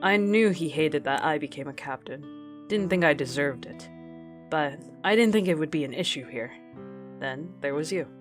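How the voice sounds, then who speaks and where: A woman's voice: low-pitched, animated, moderate pace, faint music behind. A woman playing a stubborn, protective captain of a space voyage in an earnest radio drama performance. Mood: reflective.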